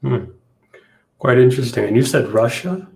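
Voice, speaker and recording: A man's voice: mid-range voice, lively intonation, moderate pace, vocal fry, a little mellow. A man hosting a conversational live interview. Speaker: interview host.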